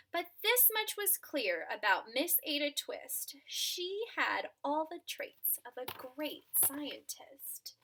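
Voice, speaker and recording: A woman teacher reads a picture book aloud to children in a high, bright voice. Her delivery is expressive and unhurried, with big swings in pitch and chatty asides to the listeners. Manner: expressive, addressing children directly. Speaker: teacher reading aloud to a class.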